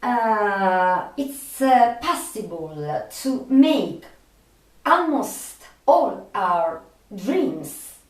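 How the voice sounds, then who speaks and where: Slow, halting woman in an echoey room. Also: medium pitch, sing-song. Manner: halting, with long searching pauses. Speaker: video creator and film fan. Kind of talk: unscripted personal monologue to viewers. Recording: echoey room, distant microphone.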